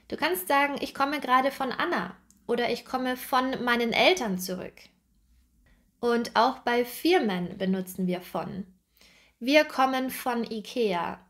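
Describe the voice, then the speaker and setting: A young woman teaching a grammar lesson straight to the camera, speaking slowly and clearly and repeating example sentences. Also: on the high side. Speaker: German teacher. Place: bright indoor room, seated at a table.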